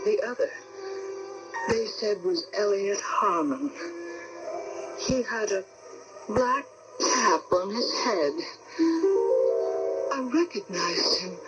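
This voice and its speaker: A woman playing a grieving, haunted wife in a 1970s radio drama, speaking deliberately on a dull AM broadcast. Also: medium pitch. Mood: grave, grieving. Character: grieving, haunted wife recounting her husband's disappearance.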